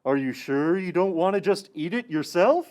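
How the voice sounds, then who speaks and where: An actor voicing a kindly, caring forest animal in a children's puppet show, with a gentle, expressive delivery. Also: medium pitch, moderate pace, lightly acted. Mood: friendly, concerned.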